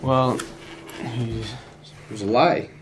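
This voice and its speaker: Young man playing an aggrieved teenage boy, flat delivery. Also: mid-range voice, slow pace, close to an everyday voice. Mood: resigned, conciliatory. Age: teenager, high school student. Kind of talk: amateur school dramatization. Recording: noisy room with background hiss.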